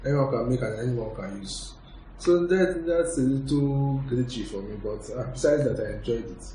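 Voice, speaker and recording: A man's voice: mid-range voice, moderate pace, warm tone. A man on a casual review panel, talking in an easygoing, informal way. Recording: echoey room with faint noise.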